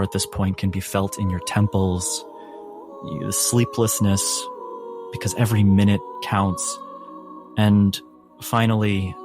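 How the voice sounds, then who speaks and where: A man narrating a tabletop role-playing game as game master, in a measured way with dramatic pauses. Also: fairly low pitch. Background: faint music.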